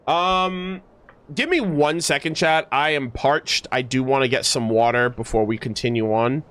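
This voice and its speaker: A man's voice: mid-range voice, unhurried, fairly bright tone. A man hosting a livestream, chatting casually about game lore and reading in-game text aloud in a gamer's slangy way. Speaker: livestream host.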